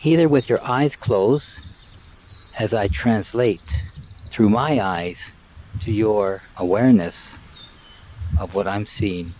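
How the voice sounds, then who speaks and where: A man leading a live guided meditation in a calm, measured way, in short phrases with long pauses, outdoors. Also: fairly low pitch. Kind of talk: live guided meditation, spoken softly and unscripted. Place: outdoors by water and trees.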